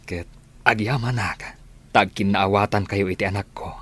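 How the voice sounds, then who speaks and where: An actor playing a soft-spoken, earnest father in a radio drama. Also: fairly low pitch, fairly even intonation, normal speed.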